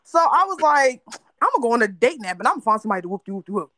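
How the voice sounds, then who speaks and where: A woman's voice: on the high side, big pitch swings, rapid-fire, noisy background. A woman from North Carolina telling a candid story in a casual chat with friends, laughing often. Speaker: podcast host.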